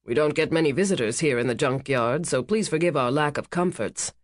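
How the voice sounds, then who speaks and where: A woman voicing a big, cheerful naval commander who talks loudly and jokes wryly, in a dry close recording. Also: low-pitched, brisk, no room sound. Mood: welcoming. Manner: loud, hearty and wry.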